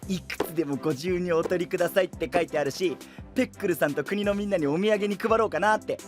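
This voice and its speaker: A man voicing a cheerful, carefree young traveler with a boisterous, naively confident manner in an animated audio drama. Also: high voice, fast talker, soft background music, natural voice. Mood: upbeat, carefree. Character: cheerful, food-loving, carefree young male traveling companion. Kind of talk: animated Japanese voice drama acting.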